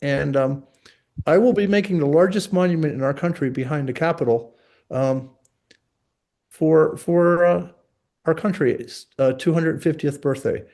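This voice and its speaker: A man in his sixties, raised in Italy and New York, tells his story as a guest. His voice sits a little high for a man. He talks in stops and starts, full of "um" and restarts. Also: vocal fry, a little mellow. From Italy and New York City. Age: 60s. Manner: halting, many fillers and restarts. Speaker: guest sculptor. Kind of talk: casual interview talk.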